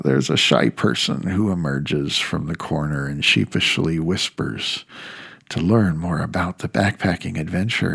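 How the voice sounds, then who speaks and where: A man's voice: on the low side, breathy edge. A man in his fifties reading his own reflective audiobook essay, with wry, emphatic phrasing.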